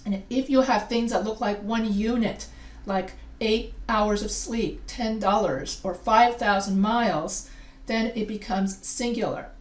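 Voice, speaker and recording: A woman teaching a recorded grammar lesson. English is not her first language, and she explains slowly, often repeating and restarting phrases. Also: medium pitch. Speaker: writing teacher. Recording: echoey room, a bit far from the mic.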